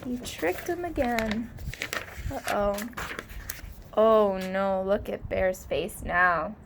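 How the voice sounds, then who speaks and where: A woman reading a storybook aloud as a teacher, expressive and stopping to put questions to children. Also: mid-range voice. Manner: expressive, drawn-out emphasis, prompting listeners with questions. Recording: light background noise.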